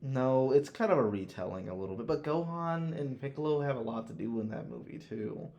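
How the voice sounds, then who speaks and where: A man talking casually as a podcast host, blunt and quick to swear as he gives his opinions. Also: medium pitch, expressive, dark tone, highs cut off. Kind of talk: casual chat, ranking films.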